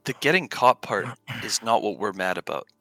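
A man with a bright, forceful voice banters casually as a player in a tabletop game. He sounds joking and incredulous, with faint music underneath. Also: on the low side, lively intonation, normal speed, no echo.

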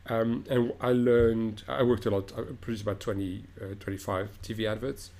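A middle-aged man from Morocco with a fairly low voice talks in a reflective interview. He pauses, restarts and fills gaps with "um" as he gathers his thoughts. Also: level tone, darkish tone. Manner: thoughtful, halting, many restarts and fillers. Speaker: opera director, guest.

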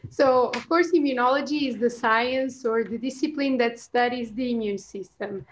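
A high-pitched young woman explains science in a casual chat, pausing often and restarting her sentences. Her voice comes through slightly dull and lo-fi. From Portugal. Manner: hesitant, many fillers and restarts. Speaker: PhD researcher guest.

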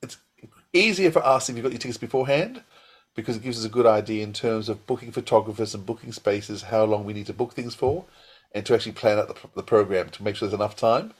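A man's voice: on the low side, fast, slightly rough. A man co-hosting a podcast in a chatty, explanatory, casual way. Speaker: podcast co-host. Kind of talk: casual chat.

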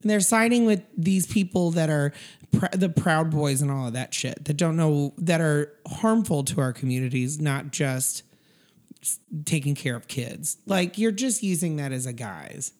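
A woman with a somewhat low, raspy voice, hoarse after a week of illness. She co-hosts a casual podcast and talks bluntly, swearing freely and laughing often. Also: lively intonation, normal speed, a little mellow. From Tennessee. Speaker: podcast co-host. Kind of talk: casual chat.

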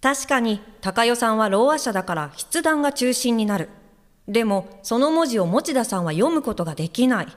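A woman's voice: on the high side, lively intonation, moderate pace, close to an everyday voice. A woman playing a perky, nosy guide helper with a teasing, exclamatory, slangy way of talking in an audio drama. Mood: reflective. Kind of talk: audio drama acting with narration.

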